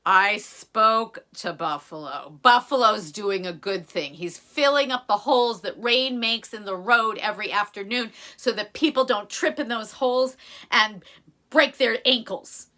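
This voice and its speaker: Patient village chief, voiced by a woman, polite, expressive, bright tone, folktale character. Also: fairly high pitch, a little slow. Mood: patient explaining.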